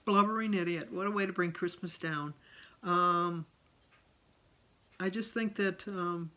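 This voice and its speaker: A woman's voice: fairly low pitch, a bit bright. A middle-aged woman talking casually and candidly in a personal vlog, rambling from one thought to the next. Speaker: vlogger.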